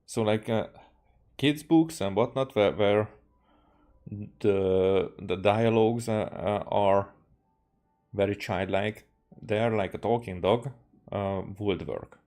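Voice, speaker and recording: A man with a Hungarian accent talks very slowly in a fairly low voice. He is streaming a game, thinking aloud in a halting, casual, musing way. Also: darkish tone. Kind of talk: casual rambling live stream commentary.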